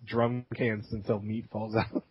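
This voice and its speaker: A man's voice: on the low side, little pitch movement, measured pace, husky, dry recording. A man co-hosting a podcast, laconic and making dry quips. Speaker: podcast co-host. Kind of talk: casual chat. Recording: dull lo-fi dry sound.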